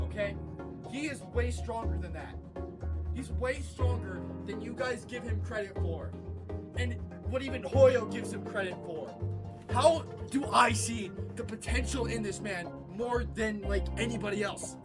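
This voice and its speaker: A gamer giving let's play commentary, excitable, ranting at the game and making laser sound effects. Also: mid-range voice. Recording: voice over loud game music. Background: game music.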